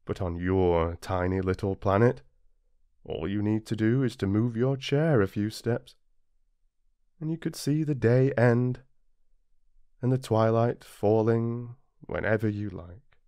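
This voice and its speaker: A British man narrating a storybook as an audiobook, reading expressively and deliberately with dramatic pauses. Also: lowish voice, dark tone, smooth, no room sound, studio quality. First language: English. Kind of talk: scripted storybook narration, read-along.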